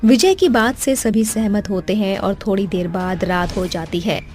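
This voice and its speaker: A female narrator tells a scripted cartoon horror tale. Her voice is mid-pitched, and faint music plays behind her. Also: moderate pace, darkish tone. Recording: background music under the voice.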